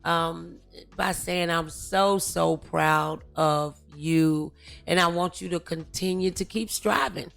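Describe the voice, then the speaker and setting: A woman's voice: on the low side, steady tone, takes it slowly, vocal fry, fairly bright tone, soft background music. A woman hosting a casual interview show, effusive and encouraging with her guest. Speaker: talk show host.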